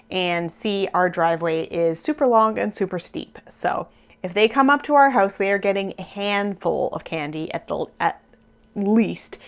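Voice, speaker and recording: A woman in her thirties or forties hosting a knitting podcast, chatting casually and rambling with small laughs, her voice a little worn. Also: mid-range voice. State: losing her voice a bit. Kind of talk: casual chat to camera.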